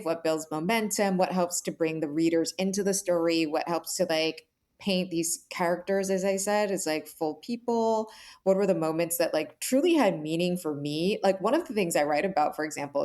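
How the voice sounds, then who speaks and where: A woman's voice: on the low side, lively intonation, normal speed, darkish tone. A woman giving earnest, reflective interview answers in a conversational way, often saying "like" and checking in with "right?". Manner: earnest, explanatory, frequent "like" and "right?". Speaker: author, interview guest.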